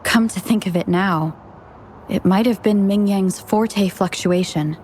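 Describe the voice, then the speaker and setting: Woman voicing a matter-of-fact female companion, even middle voice, calm. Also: medium pitch, unhurried, slight background noise, close to an everyday voice.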